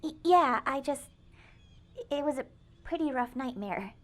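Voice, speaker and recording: Woman acting a high, stammering, anxious girl. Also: expressive, unhurried. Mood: flustered. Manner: stammering, hesitant. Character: flustered, anxious, awkward young girl. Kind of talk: audio drama acting.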